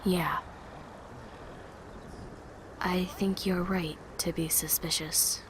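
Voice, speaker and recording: A woman playing a withdrawn, uneasy young survivor in an apocalypse audio drama, speaking in a hesitant, hushed way. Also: fairly low pitch, fairly even intonation, drawn-out words. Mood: uneasy, suspicious. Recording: clearly noisy amateur recording with ambience.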